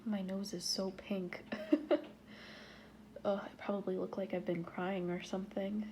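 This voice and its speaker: A woman with a stuffy nose, talking casually to her viewers, breathy and often half-whispered between sighs. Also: mid-range voice, level tone, measured pace, dark tone, a little hiss or hum. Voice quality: stuffy nasal voice. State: stuffy nose, sniffling and sneezing. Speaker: vlogger. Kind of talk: casual talk to camera.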